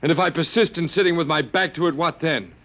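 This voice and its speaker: A man's voice: a little high, fast talker, pushed and bright. An actor playing a wry, resigned man held captive in a 1950s radio drama, sardonic and at times hesitant. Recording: dull vintage radio recording.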